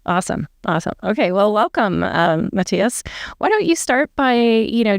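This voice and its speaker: A woman's voice: medium pitch, creaky, clear, resonant tone, no room sound, clean studio sound. A woman hosting a podcast, conversational and friendly with some fillers. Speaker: podcast host.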